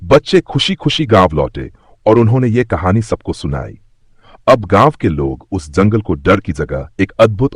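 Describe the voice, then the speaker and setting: A man's voice: low voice, slightly rough, dry recording, clean studio sound. A man narrating a scripted moral story for children as a storyteller.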